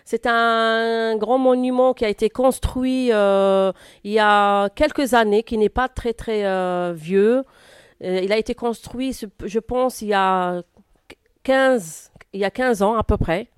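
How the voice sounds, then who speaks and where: Hesitant woman, clear voice. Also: on the high side, no room sound, clean studio sound. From Algeria. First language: Arabic. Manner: hesitant, frequent filler pauses. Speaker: interview guest. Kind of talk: answering a class's questions.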